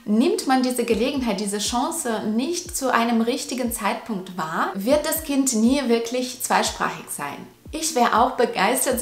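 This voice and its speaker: A woman, talking to camera at home and giving earnest parenting advice. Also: on the high side. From Russia. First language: Russian. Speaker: parenting vlogger. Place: living room at home. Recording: roomy home echo.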